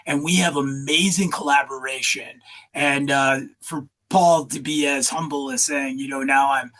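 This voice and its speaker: A man's voice: medium pitch, fairly even intonation, moderate pace, fairly bright tone. A man hosting a casual interview over a video call, upbeat and joking, with lots of fillers. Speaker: host.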